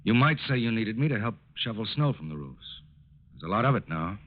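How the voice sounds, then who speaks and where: An actor playing a calm, businesslike FBI agent in a 1940s radio drama, terse, polite and purposeful. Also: male voice, lowish voice, brisk. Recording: tinny narrow-band old radio recording.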